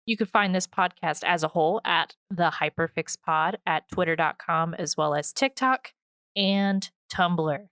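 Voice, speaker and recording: Female podcast co-host, smooth clear voice, dry close sound, informal and jokey delivery of plugs. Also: lowish voice, unhurried, fairly bright tone, no room sound. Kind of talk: casual podcast outro.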